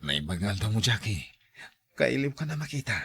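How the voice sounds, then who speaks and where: A man's voice: on the low side, sing-song, moderate pace, vocal fry, a bit bright, slightly rough, full character voice. An actor playing a lovestruck young man, an eager and generous suitor, in a radio drama. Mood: eager, reassuring.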